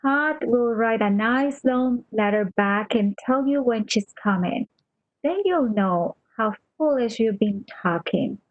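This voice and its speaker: Woman acting slow-paced devoted nurse, slightly muffled. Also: a little high, no echo. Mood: reassuring. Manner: gentle, reassuring, deferential. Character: faithful, soothing, deferential female nurse hiding bad news. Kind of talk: radio play acting.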